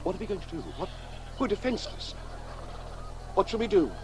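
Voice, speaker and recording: A man acting a brooding, halting writer in a radio play, narrating his own story with intensity. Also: high-pitched, over music, natural voice. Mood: alarmed. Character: brooding, wonder-struck male writer telling his story.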